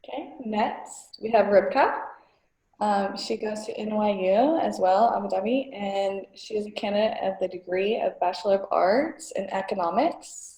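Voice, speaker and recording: A woman introduces panelists on a video call, friendly and a little hesitant, with filler sounds between phrases. Her voice is dark and gravelly. The room around her rings with echo. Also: medium pitch, moderate pace. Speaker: moderator. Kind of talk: casual panel moderating.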